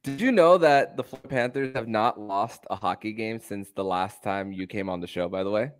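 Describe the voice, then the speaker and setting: Male, sports podcast host, smooth clear tone, halting delivery, very dry close sound. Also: fairly low pitch, dry recording.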